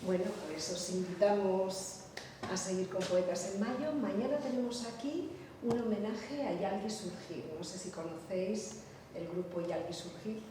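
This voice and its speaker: A woman hosting a poetry event, announcing the next day's program to the audience in a friendly, direct way. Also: mid-range voice. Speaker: event host. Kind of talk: closing announcements. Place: large hall with an audience. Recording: echoey hall from far off, clear hiss.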